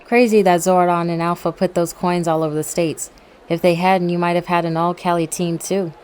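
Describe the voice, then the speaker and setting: A woman's voice: fairly low pitch, steady tone, fairly quick, darkish tone, slight background noise, close to an everyday voice. An actress playing a confident, supportive young female superhero in a casual audio drama style.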